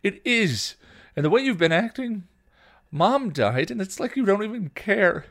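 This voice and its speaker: A man performs an angry teenage girl in a storybook drama, hurt and defiant toward an older sibling. The pitch is lifted high, with stammering, emotional accusations. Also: very animated, a little slow, a bit bright, heavily acted. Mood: angry, accusing.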